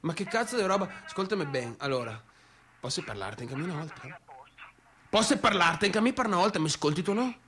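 A man's voice: sits high, animated, fast talker. An actor playing a volatile, obsessive, defiant young man in a stage monologue, with a northern Italian accent and an agitated, repetitive delivery. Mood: furious, pleading. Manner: agitated, repetitive, swinging from shouts to whispers. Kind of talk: theatrical monologue, stage acting.

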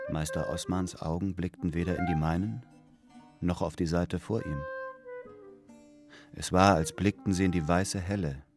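A devoted, lovesick young disciple in a literary radio drama. His voice is low and his manner deferential and earnest. Plucked guitar music sounds underneath. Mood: sombre. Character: younger apprentice painter, respectful, lovesick newlywed, earnest.

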